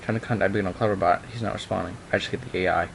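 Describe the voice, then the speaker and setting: Young adult male, lowish voice, amateur story reader with a loose conversational streak; faint noise on the recording. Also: fairly even intonation, racing, creaky. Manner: informal, breaks off into offhand remarks. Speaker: online video maker reading a horror story aloud. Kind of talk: amateur dramatic reading with casual asides. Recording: noisy amateur home recording.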